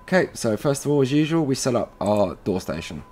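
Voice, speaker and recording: A man presenting an informal software tutorial, casually thinking aloud as he goes step by step. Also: mid-range voice, big pitch swings, a little fast. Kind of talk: informal screen-recorded software walkthrough. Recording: noisy room with keyboard typing.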